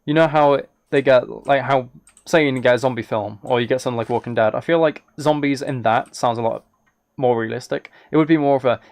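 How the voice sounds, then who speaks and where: A man's voice: medium pitch, fairly quick, a little mellow, no room sound. A man chatting casually as a live streamer, thinking aloud while he plays a game. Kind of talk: casual gaming livestream chat.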